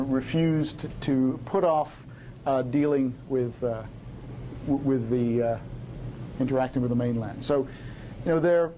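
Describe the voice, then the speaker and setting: A middle-aged man from Hong Kong lecturing and answering audience questions, halting, with many fillers and restarts. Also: medium pitch, dark tone, a little hiss or hum.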